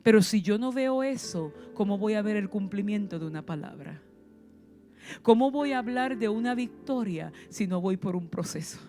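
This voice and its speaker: A woman with a Puerto Rican accent preaches with fervor, firing rhetorical questions and punching key words. Her voice sits in a middle pitch with a dark, mellow tone. Faint instrumental music plays beneath her. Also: animated, normal speed. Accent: Puerto Rican Spanish. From Puerto Rico. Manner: passionate preaching with rhetorical questions and sudden emphasis. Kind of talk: sermon.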